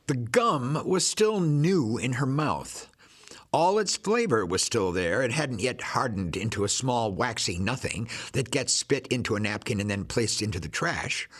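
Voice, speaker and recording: A man narrates a short story from a script, reading it aloud. His voice is a little higher than most men's, carrying the prose as literary narration. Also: a bit bright.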